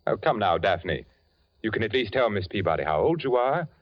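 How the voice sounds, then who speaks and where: An eccentric, uneasy widower. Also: male voice, on the low side, sing-song, brisk. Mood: insistent. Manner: genteel, nervously insistent. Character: eccentric rich widowed father, smooth and uneasy. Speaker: radio drama actor. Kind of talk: 1940s radio acting. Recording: dull lo-fi 1940s radio broadcast.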